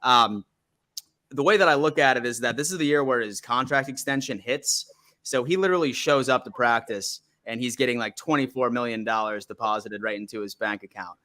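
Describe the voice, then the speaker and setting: A man's voice: medium pitch, brisk, bright, forceful tone. A man in his thirties from Buffalo, New York, hosting a casual sports call-in stream in a laid-back way. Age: 30s. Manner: laid-back, says "man" often, hypes guests.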